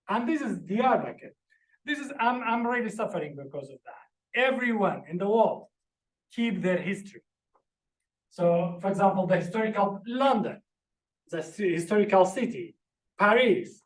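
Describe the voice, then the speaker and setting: A man with a high voice lectures from his slides, explaining each term one at a time in an earnest way. The sound is roomy and echoey, as if caught in a lecture room. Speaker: visiting lecturer.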